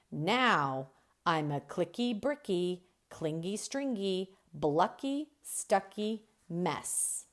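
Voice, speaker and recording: A woman reading a children's book aloud as a storyteller, expressive and deliberate, drawing out key words. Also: fairly low pitch. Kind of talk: expressive read-aloud narration.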